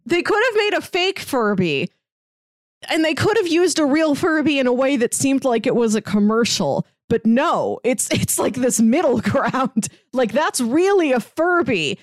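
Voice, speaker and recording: A woman's voice: fairly high pitch, dry recording, clean studio sound. A woman co-hosting a film podcast, chatting casually with wry, playful observations. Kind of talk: casual movie discussion.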